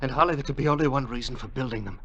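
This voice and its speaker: An actor playing an excitable explorer in a 1940s radio adventure, exclamatory and emphatic. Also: male voice, mid-range voice, rapid-fire, vocal fry, dull audio. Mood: excited. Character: eager, excitable young male explorer.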